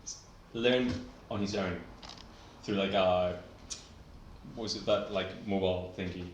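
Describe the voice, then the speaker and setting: A man's voice: low voice, measured pace, lo-fi sound. A man from Chile with a Chilean accent, Spanish as his first language, chatting casually and laughing often among friends. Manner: laughs a lot, lively, restarts often. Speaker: tabletop game player. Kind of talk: casual chat. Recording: echoey room with background noise.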